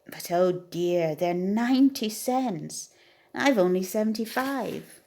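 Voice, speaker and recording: An earnest little tomcat from a children's storybook, voiced by a woman reader. He speaks expressively and thoughtfully, with a pitch that swings up and down. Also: expressive, takes it slowly, dark tone, slight background noise. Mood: wistful. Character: earnest, secretive young male cat, thoughtful gift-giver.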